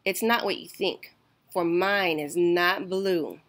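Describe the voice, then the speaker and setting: A woman reading a rhyming children's picture book aloud in a deliberate, expressive storytelling way. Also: lowish voice. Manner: slow, deliberate, emphatic storytelling. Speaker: storytime reader.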